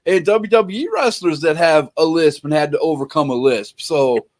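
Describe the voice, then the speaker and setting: A man's voice: a little high, normal speed. A man hosting a casual online interview, reading out viewer questions, enthusiastic and repeating himself. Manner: enthusiastic, repeats words and restarts.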